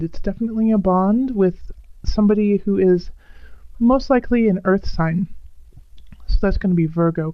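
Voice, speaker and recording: A woman reading tarot cards, hoarse and raspy from bronchitis, speaking calmly and drawing some phrases out slowly. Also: mid-range voice, no room sound, muffled recording. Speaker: tarot reader.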